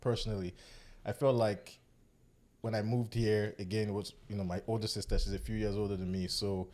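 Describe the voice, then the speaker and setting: A man hosting a podcast, speaking slowly and hesitantly with many fillers as he reflects. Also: on the low side, flat delivery, a little mellow. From Nigeria, Warri. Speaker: podcast host. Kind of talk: casual reflective chat.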